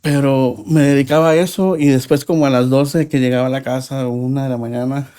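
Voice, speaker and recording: A man from Mexico with a Mexican accent, a podcast guest telling his life story in a reflective, halting way with frequent pauses. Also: medium pitch, steady tone, warm tone, smooth. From Mexico (Nuevo Laredo). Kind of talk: personal testimony in a podcast interview.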